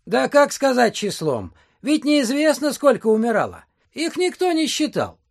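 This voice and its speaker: An actor playing a plump, offhand estate steward of about forty in an audio drama, folksy and shrugging. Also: male voice, extremely high pitch, huge pitch swings, normal speed, creaky, heavily acted. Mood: offhand, bemused.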